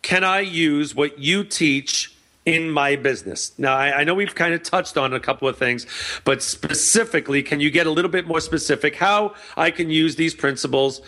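An energetic, outgoing man hosting an interview in a loud, eager way. His voice is bright and a little high for a man. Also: normal speed. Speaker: host, marketing event organizer. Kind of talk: interview host.

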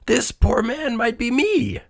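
An old storybook miser, acted out by a male narrator, pitched high and swooping with emotion. He is theatrical and earnest, every phrase worked up, in a dry recording. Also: sing-song, a little slow, creaky, fairly bright tone, no echo, heavily acted. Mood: desperate pleading. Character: wicked old miser, frightened, pleading, repentant.

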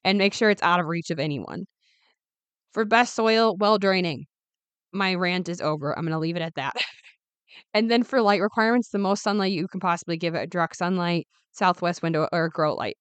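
A woman in her late twenties talks casually into a close mic, her voice a little raspy and worn. She chats upbeat with plenty of ums and asides. The sound is dry, with no room around her. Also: fairly low pitch, normal speed, a bit bright, dry recording. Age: 20s. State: raspy, recovering voice. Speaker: podcast host. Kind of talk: casual solo podcast chat.

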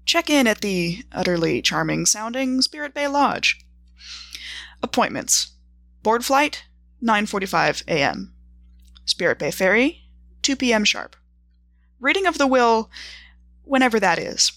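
A woman's voice: on the high side, very animated, a little slow, long pauses, a bit bright, dry recording, pitched above the performer's own voice, full character voice. A woman playing a wry, precise traveler reading out her journal as scripted narration, dry and articulate. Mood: wry, brisk.

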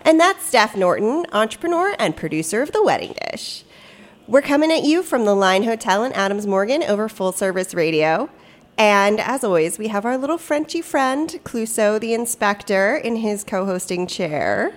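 A woman's voice: medium pitch, sing-song, moderate pace. Upbeat, slightly stuffy woman host. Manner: upbeat, chatty. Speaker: podcast host and producer. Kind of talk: casual podcast chat. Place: hotel studio.

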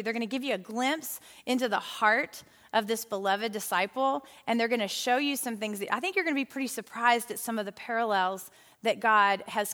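A woman leading a women's Bible study, talking in an animated, chatty way with frequent fillers. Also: a little high. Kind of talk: lively teaching talk to a women's Bible study group. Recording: hall with long reverb.

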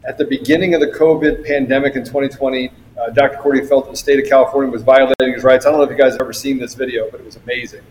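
An American man hosts a talk show in a conversational style. His voice sits at a medium pitch, with a faint noise in the recording. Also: fairly even intonation, rapid-fire, darkish tone, low-quality recording. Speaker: show host. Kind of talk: conversational guest introduction.

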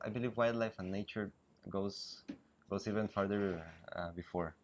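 A man's voice: fairly low pitch, fairly even intonation, normal speed, slight background noise. A Costa Rican man with a Spanish accent, a biologist answering interview questions, often restarting his sentences and laughing easily. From Costa Rica. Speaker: guest, biologist. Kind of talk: interview conversation. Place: riverbank outdoors. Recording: outdoors with faint ambience.

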